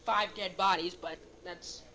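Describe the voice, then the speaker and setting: A woman's voice: on the low side, steady tone, measured pace, bright, forceful tone. A woman playing a star police officer, impatient and matter-of-fact, in an amateur audio drama. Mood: businesslike. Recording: lo-fi home tape with noisy crowd.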